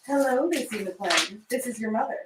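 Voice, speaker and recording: A woman playing a brisk working mother in her thirties in a script reading. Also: a little high, expressive, background noise, lightly acted. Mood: warm, gentle. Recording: echoey room, distant mic.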